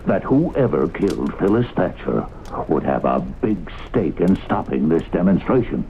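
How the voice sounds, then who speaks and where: An actor playing a persistent, plain-spoken small-town man questioning suspects with folksy, deliberate probing in a 1970s radio drama. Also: low-pitched, very animated, full character voice. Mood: insistent. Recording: muffled, noisy 1970s radio broadcast.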